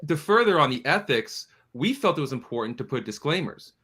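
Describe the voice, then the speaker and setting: A man's voice: fairly high pitch, sing-song, a little fast, crowd noise. A man speaking as a studio producer and guest in a panel interview, explaining things earnestly and with emphasis.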